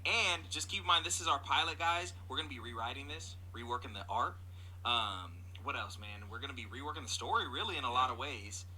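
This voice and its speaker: A man with a very bright, forceful voice at a middle pitch. He presents a casual announcement as a creator talking to his audience. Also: a little fast, slight background noise, poor audio. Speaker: comic creator and video presenter.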